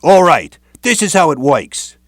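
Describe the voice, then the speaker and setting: A man's voice: on the high side, sing-song, measured pace, no room sound, clean studio sound. A man narrating a scripted training video in a streetwise tough-guy patter.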